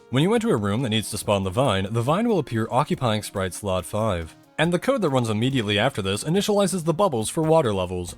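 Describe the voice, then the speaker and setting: A man narrating a scripted technical explainer in a conversational, methodical way, with casual asides. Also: mid-range voice.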